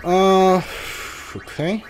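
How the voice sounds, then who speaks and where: Slow, high-pitched man streaming a game. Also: slight background noise, poor audio. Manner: hesitant, halting, thinking aloud. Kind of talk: casual live gameplay commentary.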